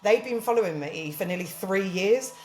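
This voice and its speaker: A woman's voice: mid-range voice, expressive, normal speed, darkish tone, roomy sound, a little hiss or hum. A woman with a southern English accent, chatting as a podcast guest, laughing often and animatedly retelling conversations. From southern England. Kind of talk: casual chat.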